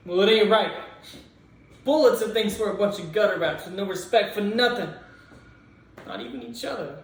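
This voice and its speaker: A man's voice: high-pitched, voice pushed up. A young man playing a streetwise, earnest newsboy strike leader in a theatrical monologue, pleading in colloquial street slang. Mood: urgent, persuading. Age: young adult. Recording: very echoey room, distant mic, faint noise.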